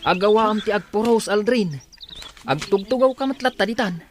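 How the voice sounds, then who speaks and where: Actor as a high-voiced, prodding Ilocano workmate. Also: male voice, normal speed, loud music behind the voice, natural voice. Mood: admonishing. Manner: urging, admonishing. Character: diligent male workmate, brisk and prodding. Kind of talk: radio drama acting.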